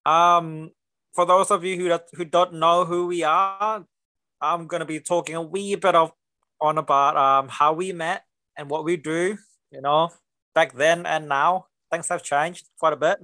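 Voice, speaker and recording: A man's voice: on the high side, fairly even intonation, unhurried, sharp tone, no room sound. A young man from Singapore with a mixed Singaporean and New Zealand accent, co-hosting a casual podcast chat in a laid-back, joking way full of bro slang. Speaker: podcast co-host.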